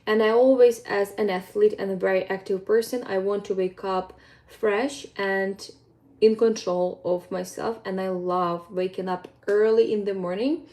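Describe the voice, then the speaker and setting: Mellow-voiced Ukrainian woman vlogging. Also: medium pitch, fairly even intonation, a little slow. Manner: earnest, rambling, with frequent ums and non-native phrasing. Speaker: fitness coach. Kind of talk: casual vlog monologue.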